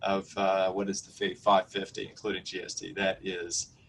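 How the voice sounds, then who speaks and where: Deep-voiced male host, casual and matey, little pitch movement, faint noise on the call. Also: monotone, normal speed, gravelly, a bit bright, rough recording. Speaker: webinar host. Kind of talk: casual, unscripted reactions.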